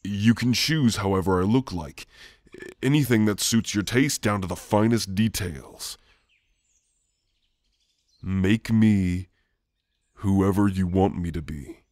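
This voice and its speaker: A man playing a gentle shadowy sleep demon in an ASMR roleplay, speaking softly and haltingly to soothe the listener. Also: low-pitched, dry recording, clean studio sound. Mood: gently coaxing.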